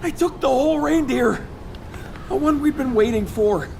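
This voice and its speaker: A woman's voice: high voice, animated, normal speed, vocal fry, dark tone, slight background noise. A woman voicing an earnest, weary young hunter in a video game, speaking hesitantly. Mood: shaken, earnest.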